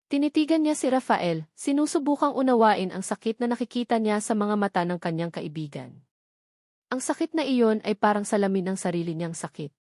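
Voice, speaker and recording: Female story narrator, medium pitch, emotive and deliberate delivery, very dry close sound. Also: darkish tone, no echo. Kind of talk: dramatic scripted story narration.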